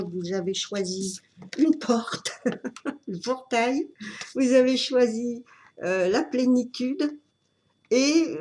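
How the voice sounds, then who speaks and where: A woman with a cold giving an unscripted tarot reading, talking in a halting way with many fillers and restarts. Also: mid-range voice, darkish tone, slight background noise. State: has a cold. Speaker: tarot reader.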